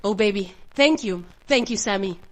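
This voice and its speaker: A woman's voice: medium pitch, normal speed, noisy background, close to an everyday voice. A woman playing an anxious, protective mother in a horror radio drama, soothing but hesitant and shaken. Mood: shaken, tender.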